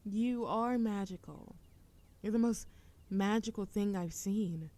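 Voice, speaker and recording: A woman's voice: mid-range voice, takes it slowly, dark tone, lightly acted. A woman playing a playful young witch smitten with a childhood friend, teasing and affectionate in an intimate romantic audio roleplay. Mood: earnest, tender. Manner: teasing, affectionate, breathy laughs and whispers.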